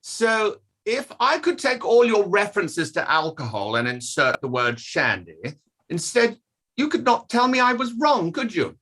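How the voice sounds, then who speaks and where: A man reading an insistent defence barrister in a courtroom play, pointed and deliberate, with dramatic pauses. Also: sits high, big pitch swings, bright, forceful tone, full character voice. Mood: pointed, needling. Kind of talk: table read of a courtroom play.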